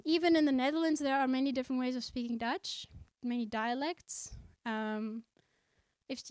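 A woman from South Africa preaches with earnest conviction. Her voice sits fairly high, and she slows down to read scripture. The sound is close and dry, with little room echo. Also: a little mellow. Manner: conversational, with fillers and restarts. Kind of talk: sermon.

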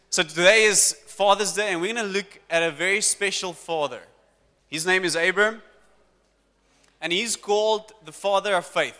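A man preaching to his congregation as pastor, lively and talking directly to the people in front of him. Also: sits high. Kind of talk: sermon. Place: church hall. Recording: long church hall reverb.

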